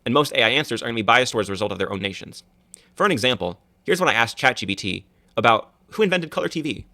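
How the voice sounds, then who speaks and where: Fast-talking young man presenting. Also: medium pitch, animated, sharp tone, dry recording. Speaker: student presenter. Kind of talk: class project presentation.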